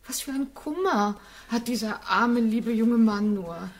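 A simple, kindly servant woman played by a woman in a radio play. Her fairly high voice is full of pity, stretching words out emphatically as she laments. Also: a little slow, lightly acted. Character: warm-hearted, plain-spoken housemaid, full of pity. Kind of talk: radio drama acting.

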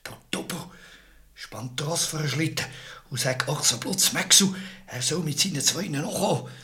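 An actor playing a bossy, greedy, incredulous village innkeeper with a Swiss German accent in a radio drama. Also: male voice, a little high, animated, moderate pace, pushed and bright. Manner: repeats questions in disbelief, curt orders.

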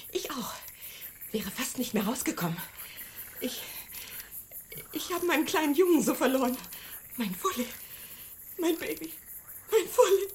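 An actress playing a grief-stricken, deluded village mother in a radio drama, halting and fragile. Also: sits high, a bit bright, a little hiss or hum. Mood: haunted.

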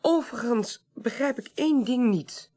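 An actress playing a sharp-witted, assertive investigator in a 1980s radio crime drama, speaking precisely and matter-of-factly. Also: female voice, on the high side, expressive, normal speed. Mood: questioning. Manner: precise, assured, matter-of-fact.

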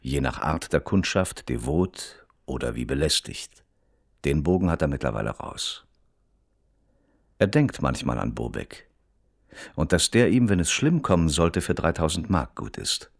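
An actor playing a detached reporter who narrates a crime case in a radio play, formal and matter-of-fact. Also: male voice, rumbling low, creaky, dry recording, studio quality, heavily acted. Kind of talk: scripted narration.

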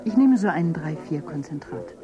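A woman's voice: low voice, wildly swooping pitch, a little fast, music in the background. An actress playing a troubled woman who works as a paid conversation companion in a dystopian radio drama, talking compulsively. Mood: detached, flirtatious. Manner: compulsive, rambling talk. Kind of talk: German radio drama acting.

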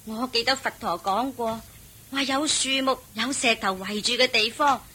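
An actress playing a humble, devout peasant mother in a radio drama, husky and respectfully earnest. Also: a little high, moderate pace, sharp tone, a little hiss or hum. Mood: earnest, weary. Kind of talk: Cantonese radio drama acting.

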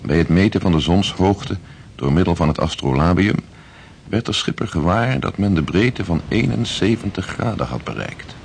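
Deep, gravelly voyage chronicler. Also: male voice, lively intonation, normal speed, a little hiss or hum. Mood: measured. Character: grave chronicler narrating a sea voyage log. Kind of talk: scripted narration, formal historical prose.

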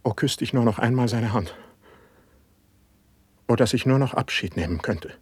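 An actor playing an anguished, distrustful poet on the edge of madness, declaiming classical verse in a radio play. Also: male voice, mid-range voice, moderate pace, husky, no echo, natural voice. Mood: despairing. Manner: declamatory, lamenting, impassioned.

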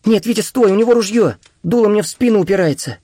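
A man's voice: extremely high pitch, lively intonation, a little fast, no echo, voice pushed up. A man voicing an alarmed woman held hostage in an audiobook, crying out urgent warnings.

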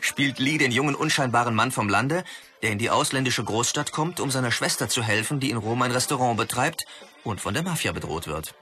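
A keen, knowing film fan telling stories in a radio play. The voice is medium in pitch and forceful, with faint music behind. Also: male voice, expressive, brisk. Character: keen, knowledgeable martial arts film buff explaining to a friend. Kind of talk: scripted radio play dialogue and storytelling.

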